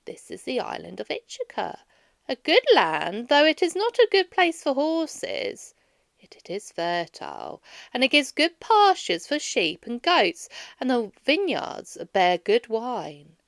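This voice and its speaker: A woman reads as a wise goddess protector in a storybook, assured and gently teasing. The voice is fairly high and very melodic, with emphatic, instructive delivery and a dry sound. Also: big pitch swings, measured pace, creaky, dry recording, heavily acted. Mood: informative.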